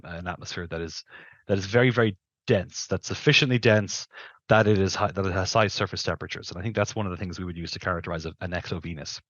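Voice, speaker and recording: A man's voice: sits low, a bit bright, dry recording, highs cut off. A middle-aged man giving careful, qualified explanations as an interview guest, speaking into a headset on a video call. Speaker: guest planetary scientist.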